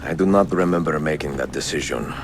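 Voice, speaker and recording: Weary old italian assassin, super deep gravelly voice, solemn n measured, game cutscene w noise behind. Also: male voice. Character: weary, reflective veteran Italian assassin, noble and warm. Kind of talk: dramatic game cutscene dialogue and letter narration.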